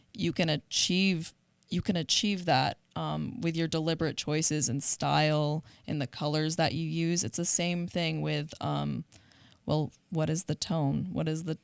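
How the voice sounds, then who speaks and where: A woman's voice: low voice, little pitch movement, measured pace, gravelly, clear, resonant tone, no room sound. A woman co-hosting a show in casual discussion, talking in an earnest, enthusiastic way. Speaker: co-host of a media show.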